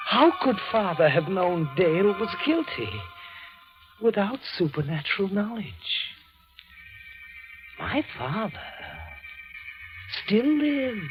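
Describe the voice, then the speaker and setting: Possessed, insistent madman, higher-pitched, melodramatic; dull vintage radio sound with music behind. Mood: haunted. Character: unhinged man convinced his dead father possesses him. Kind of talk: 1940s radio drama acting.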